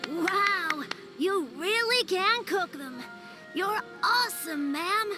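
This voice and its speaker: An actress voicing a friendly, matter-of-fact young child in a video game, a bit of a know-it-all. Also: female voice, extremely high pitch, expressive, slow pace, full character voice. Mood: friendly, admiring. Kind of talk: video game cutscene acting. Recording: music under the voice.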